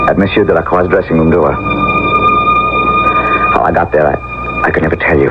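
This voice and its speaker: An actor playing a shy, obsessed man who narrates in a breathless, hesitant, confessional manner for a 1970s radio drama. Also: deep voice. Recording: dull old radio recording, loud music.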